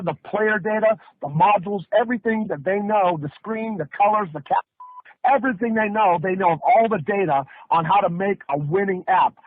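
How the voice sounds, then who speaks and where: A man with a North American accent giving an emphatic, hyped sales pitch on a conference call, telling it like a story with rhetorical questions and folksy repetition. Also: no echo. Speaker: network marketing presenter. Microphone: phone or conference line. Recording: muffled, band-limited conference call audio.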